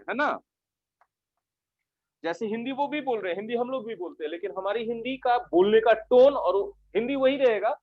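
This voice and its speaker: A man's voice: high-pitched, no room sound. A male teacher giving an online English vocabulary lesson in Hindi, who repeats his own questions and keeps pressing the class for yes-or-no answers. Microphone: online class microphone. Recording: dull, dry online lecture audio.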